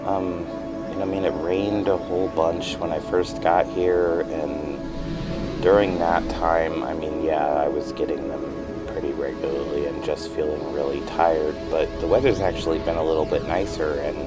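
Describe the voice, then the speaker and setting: A man playing a weary, uncertain patient in a naturalistic fiction podcast, speaking haltingly and trailing off. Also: extremely low pitch, little pitch movement, over loud music. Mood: hesitant, tired. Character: weary, uncertain male patient with memory lapses.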